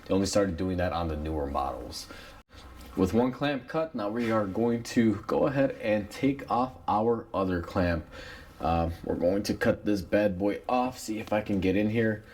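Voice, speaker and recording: Raspy man with a cold, rambling. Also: lowish voice, normal speed. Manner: relaxed, rambling with many uh fillers. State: has a cold. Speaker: mechanic demonstrating a repair. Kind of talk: casual how-to tutorial narration.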